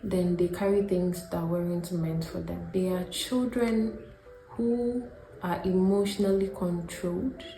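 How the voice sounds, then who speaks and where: A woman with a Ghanaian accent narrating a reflective scripted video essay, speaking deliberately in short, paused phrases. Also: lowish voice, steady tone, dark tone. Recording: roomy echo, music behind. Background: background music.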